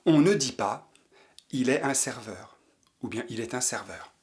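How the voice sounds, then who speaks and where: A man's voice: medium pitch, a little slow, darkish tone. A man teaching a language lesson, patiently repeating each example sentence for learners to copy. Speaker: French teacher. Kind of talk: slow French lesson for learners.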